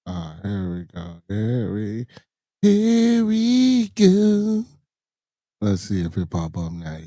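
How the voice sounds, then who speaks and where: A hoarse man hosting a podcast in loose, slangy casual chat, muttering to himself as he fumbles to share a clip. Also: on the low side, huge pitch swings, slow, warm tone, no room sound, studio quality. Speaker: podcast host. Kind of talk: casual live-stream chat.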